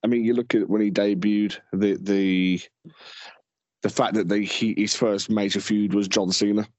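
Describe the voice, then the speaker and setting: Young male co-host, lower voice, hesitant and relaxed, dry home recording. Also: moderate pace, no echo. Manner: relaxed, hesitant, many fillers and repeats. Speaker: podcast co-host. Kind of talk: casual chat. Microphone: home mic without headphones.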